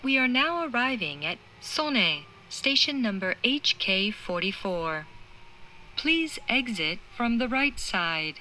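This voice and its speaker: A woman delivers formal recorded station announcements. She is very slow and deliberate, with a bright, forward tone. There is light train noise around her. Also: on the high side, sing-song, low-quality recording. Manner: formal, deliberate, clearly enunciated. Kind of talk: scripted automated station announcement. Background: moving train interior noise.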